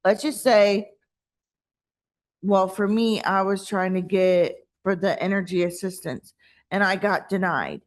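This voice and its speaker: A woman's voice: mid-range voice, measured pace. A woman with an American accent, hesitantly asking a question at a meeting while searching for words. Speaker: meeting participant.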